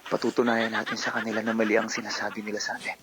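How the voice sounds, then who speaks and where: A man's voice: fairly low pitch, monotone, very fast, music in the background. A Filipino man calling a radio show, polite and dramatic with playful sweet talk.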